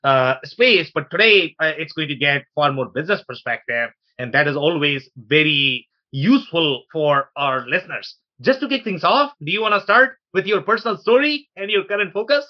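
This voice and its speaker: A man's voice: fairly high pitch, expressive, moderate pace, few pauses, muffled recording. A man hosting a conversational interview show in an enthusiastic, welcoming manner. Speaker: podcast host.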